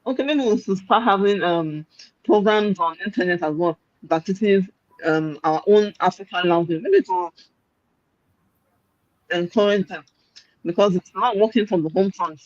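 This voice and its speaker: A woman's voice: medium pitch, moderate pace, a little mellow, no room sound, lo-fi sound. A Nigerian woman chatting casually about raising children, laughing often as she reflects. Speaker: parent in a discussion. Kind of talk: casual chat.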